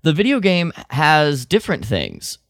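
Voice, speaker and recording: A man's voice: fairly high pitch, huge pitch swings, measured pace, fairly bright tone, no room sound, clean studio sound. A man co-hosting a casual fan podcast, talking in a conversational style. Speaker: podcast co-host.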